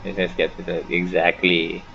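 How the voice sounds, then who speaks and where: A man's voice: low-pitched, flat delivery, moderate pace, vocal fry, sharp tone, soft background music. A man giving a casual video game playthrough commentary, rambling and reading the on-screen text aloud in a half-mumble. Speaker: gaming YouTuber. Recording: dull, noisy home gaming recording.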